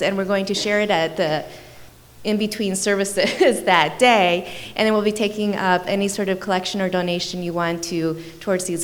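Chatty church lady, lots of ums, echoey hall, a bit of hiss. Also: medium pitch. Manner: hesitant, many fillers, chatty. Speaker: church social action volunteer. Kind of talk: church announcement. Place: church sanctuary. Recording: long reverb of a church hall.

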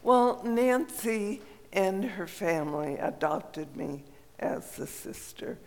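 An older woman from Colorado tells a personal story in a slow, unhurried way, pausing often and filling gaps with small hesitations. Her raspy voice carries a gravelly edge. The sound rings with the long reverb of a large hall. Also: medium pitch, lively intonation. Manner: slow, gentle reminiscing with frequent pauses and fillers.

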